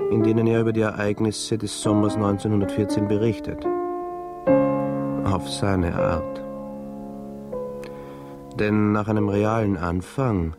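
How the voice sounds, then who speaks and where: An actor playing a sober chronicler, reading a radio drama's epilogue in a measured, factual manner. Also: male voice, fairly low pitch, close to an everyday voice. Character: sober male chronicler closing a dead officer's story. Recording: loud piano music behind.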